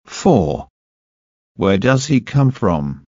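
Very slow man reading test items. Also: fairly low pitch, big pitch swings, dark tone, dry recording, highs cut off. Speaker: listening test reader. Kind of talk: scripted language-test reading.

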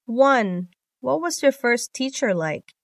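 A woman with a fairly high voice reads English listening-test lines. She goes rather slowly, enunciating each word with care as scripted lesson material, and the sound is dry and close. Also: sing-song, no room sound. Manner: measured, careful enunciation. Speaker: exam listening test reader.

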